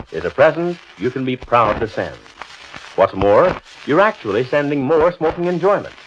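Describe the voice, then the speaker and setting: A faintly noisy, band-limited old radio recording presents a man announcing a commercial. His voice sits at a medium pitch, and he sells in a polished, formal 1940s style. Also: dull audio. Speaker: radio announcer reading sponsor message. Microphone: radio studio microphone.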